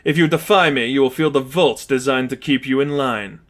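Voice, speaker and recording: A man's voice: mid-range voice, fairly bright tone, natural voice. Man voicing a menacing, commanding villain mastermind. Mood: cold threatening. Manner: commanding, deliberate, threatening. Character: menacing male villain, cold and controlling mastermind. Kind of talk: fan fiction audiobook character acting.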